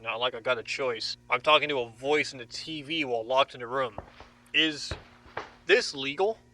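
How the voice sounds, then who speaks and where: A man's voice: mid-range voice, normal speed, pushed and bright, close to an everyday voice. An actor in an audio drama playing a frightened, defiant young man held captive, with an agitated, confrontational manner. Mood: uneasy.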